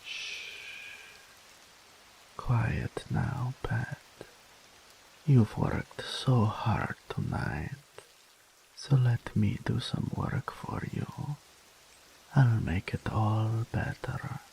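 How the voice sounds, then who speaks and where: A man voicing a doting, possessive male incubus demon in an ASMR roleplay audio, speaking softly and slowly in a whispery, pampering way. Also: fairly low pitch, sing-song, gravelly, slight background noise, full character voice, low-quality recording. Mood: doting, tender. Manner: soft, slow, whispery and pampering.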